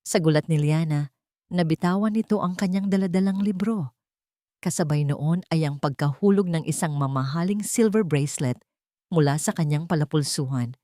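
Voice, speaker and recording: Female story narrator, slightly low register, dry recording, scripted dramatic delivery. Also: moderate pace, dark tone, no room sound.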